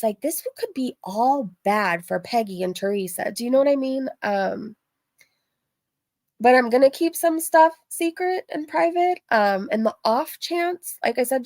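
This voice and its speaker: Woman, livestream host, vocal fry, casual rambling chat, informal, emphatic repetition, dry close recording. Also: high-pitched, lively intonation, normal speed, a little mellow, no room sound. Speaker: livestream host reacting to drama and reading chat.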